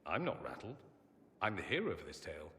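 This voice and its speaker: A man's voice: deep voice, big pitch swings, brisk, fairly bright tone, exaggerated voice. A man playing a witty, theatrical, cynical mage in a video game, with a flamboyant, sardonic delivery. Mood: wry, musing. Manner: flamboyant, sardonic, dramatic swings. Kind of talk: video game cutscene acting. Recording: long hall reverb.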